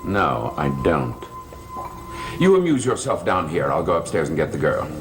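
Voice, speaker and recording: Patronizing gothic TV host; deep register, brusque delivery, music clearly underneath. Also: male voice, huge pitch swings, moderate pace, exaggerated voice. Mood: dismissive. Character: brusque, patronizing gentleman host of gloomy mansion. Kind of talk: 1970s gothic television soap acting.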